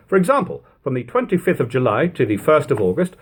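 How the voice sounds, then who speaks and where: Man reading literature aloud, swooping expressive pitch. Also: fast, slightly rough. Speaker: reader of a classic book. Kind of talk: audiobook-style literary narration.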